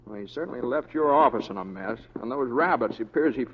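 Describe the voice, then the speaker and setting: An actor playing an easygoing young western deputy in a radio drama, stubborn in a folksy, hesitant way. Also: male voice, medium pitch, expressive, fast talker, a little hiss or hum, natural voice. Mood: mild, folksy. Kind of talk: 1940s radio acting. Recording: dull old radio broadcast recording.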